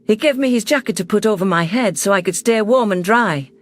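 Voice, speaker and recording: High-voiced male sci-fi narrator. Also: fairly quick. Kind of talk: first-person sci-fi audiobook narration.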